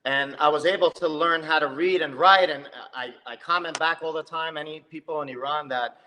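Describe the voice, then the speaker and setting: A man from New Jersey, a comedic video creator, chatting lively in an interview and quick to tell stories. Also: on the high side, big-room sound, slight background noise, low-quality recording. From New Jersey, USA. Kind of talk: casual interview chat.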